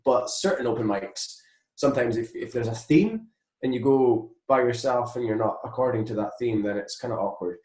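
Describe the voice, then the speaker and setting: Male Scottish interview guest, mid-pitched, chatty and anecdotal, echoey recording. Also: normal speed, darkish tone. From Scotland. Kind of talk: casual anecdotal chat.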